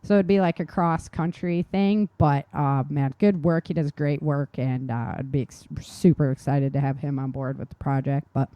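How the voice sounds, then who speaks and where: A woman with a slightly low voice chats casually as a show co-host. She sounds enthusiastic and informal, and the recording is close and dry. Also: fairly even intonation, normal speed, few pauses, dry recording, dull audio. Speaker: podcast co-host and novelist. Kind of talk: casual chat.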